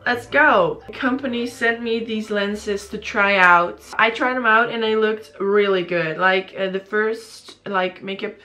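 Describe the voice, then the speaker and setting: A young woman, chatting casually to camera in her bedroom, a little sleepy and raspy, rambling with many fillers and restarts. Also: medium pitch, measured pace, few pauses, bright, forceful tone. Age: young adult. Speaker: beauty vlogger. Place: small bedroom indoors. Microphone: camera in front at close range.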